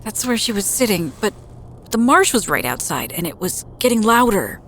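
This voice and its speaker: An actress playing a troubled, drowsy woman confiding haltingly in naturalistic audio drama. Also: mid-range voice, very animated, a bit bright, slight background noise. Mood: troubled, groggy. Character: troubled, hesitant woman confiding in a close friend.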